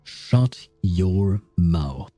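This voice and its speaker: Man voicing a low, grumpy, defiant witch. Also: expressive, drawn-out words, mellow, no echo, faint music behind, heavily acted. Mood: angry. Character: grumpy, defiant reclusive witch woman with prosthetic leg.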